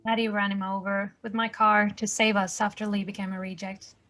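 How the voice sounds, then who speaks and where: A woman plays a composed survivor in an audio drama table read. Her voice is medium in pitch, and she speaks in a plain, factual way. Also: little pitch movement, brisk, sharp tone, faint music behind, close to an everyday voice. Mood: matter-of-fact. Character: calm, matter-of-fact woman survivor explaining grim events.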